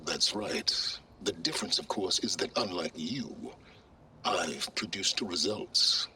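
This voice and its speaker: An actor playing an arrogant, secretive older male researcher in a video game, speaking in a measured, pompous, sardonic and condescending way. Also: sits low, crowd noise. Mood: condescending, proud. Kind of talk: video game cutscene acting.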